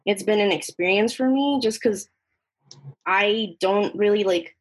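Young female, Spanish. Slightly raspy, medium pitch, informal podcast storyteller. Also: measured pace. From northern Mexico. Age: young adult. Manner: informal, heavy use of "like", quoting dialogue. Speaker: podcast participant. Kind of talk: casual personal storytelling.

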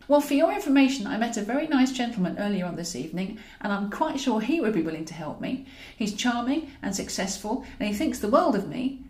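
A woman's voice: a little high, a little mellow, reverberant, close to an everyday voice. A woman reading an audiobook, voicing a flirtatious, easily indignant woman with a theatrical, insistent way of talking. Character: flirtatious, indignant woman pursuing a reluctant man.

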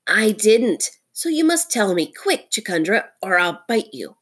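A woman's voice: medium pitch, big pitch swings, measured pace, breathy edge, pitched above the performer's own voice. Woman playing a bold, scornful mongoose. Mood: impatient. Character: bold, scornful male mongoose snake killer.

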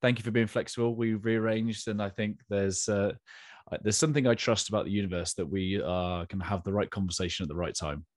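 Low-voiced podcast host. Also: male voice, fairly even intonation, a little fast, no echo, clean studio sound. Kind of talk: scripted intro, then casual interview.

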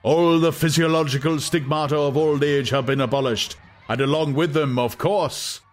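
Man voicing a grandiose lecturing world leader, pitched up. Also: steady tone, natural voice. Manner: oratorical, measured, savouring lists and repetitions. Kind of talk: dramatized audiobook acting.

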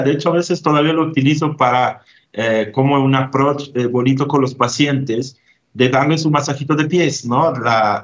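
A man's voice: medium pitch, highs cut off. A man from Italy, a grief therapist, answering interview questions thoughtfully with frequent hesitations and tag questions.

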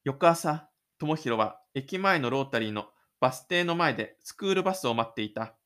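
A man's voice: medium pitch, expressive, normal speed, fairly bright tone. A man reading scripted third-person narration for an audio drama.

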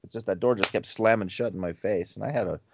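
A man's voice: on the low side, quick pace, gravelly, slight background noise. A man in his forties co-hosting a podcast, chatting casually in a rambling way and chuckling between asides. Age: 40s. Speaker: podcast co-host. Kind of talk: casual chat. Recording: slightly muffled podcast recording.

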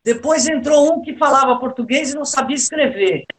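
A woman's voice: high-pitched, fairly quick, a little hiss or hum. A woman with a Brazilian accent speaking as a panelist in a political discussion, with an ironic way of talking.

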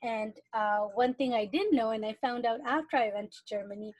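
Young adult woman, podcast guest, slightly high voice, thoughtful, lots of ums, dry close sound. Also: fairly quick, no echo. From Iran. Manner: thoughtful, with frequent fillers. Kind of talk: casual interview talk.

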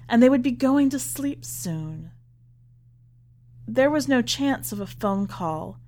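A woman reading literary fiction aloud as scripted narration, with measured, deliberate phrasing. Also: fairly high pitch. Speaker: podcast host reading a short story.

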